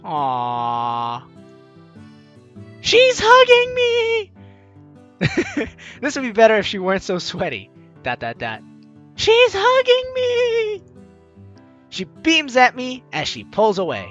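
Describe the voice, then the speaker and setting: A man with a bright, forceful voice hosts a let's play over game music. He reads the story text aloud and breaks into jokey, exclamatory commentary, his pitch leaping around theatrically. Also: huge pitch swings, slow pace, long pauses, muffled recording. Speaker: gaming video host.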